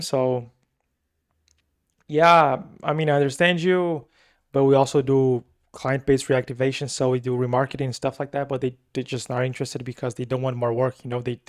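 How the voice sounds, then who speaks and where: A young man with a Brazilian accent, talking through his work week in a loose, rambling vlog with many fillers and repeats. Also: medium pitch. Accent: Brazilian Portuguese. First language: Portuguese. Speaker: agency owner recapping his week. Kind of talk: casual weekly recap vlog.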